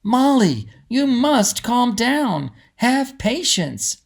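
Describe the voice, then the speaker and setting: A man voicing a firm mother bird who calms and cautions in a measured way, in a storybook reading. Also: very high-pitched, vocal fry, pitched above the performer's own voice, full character voice. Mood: admonishing. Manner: measured admonishing.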